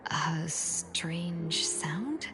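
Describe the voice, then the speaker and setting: A woman voicing a perceptive, inquisitive character in a video game, thoughtful and deliberate. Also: sits low, animated, music in the background, full character voice, poor audio. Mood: pensive, curious.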